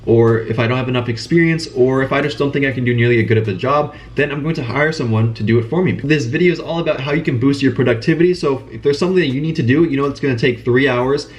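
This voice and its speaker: A young man with a cold, sounding nasal, giving casual advice straight to the camera in an earnest, repetitive way. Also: medium pitch. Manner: brisk, earnest, repeats phrases for emphasis. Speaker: channel host giving business advice.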